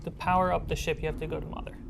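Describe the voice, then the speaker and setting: A woman's voice: sits low, flat delivery, racing, vocal fry, background noise. A woman running a tabletop game as game master, explaining and narrating in a casual, improvised way. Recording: loud music behind the voice. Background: loud background music.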